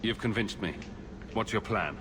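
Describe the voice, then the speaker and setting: An actor playing a firm, businesslike adventurer in a fantasy video game, asking direct, clipped questions. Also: male voice, normal speed, crowd noise, lightly acted. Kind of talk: video game dramatic dialogue. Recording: noisy game audio with ambient rumble.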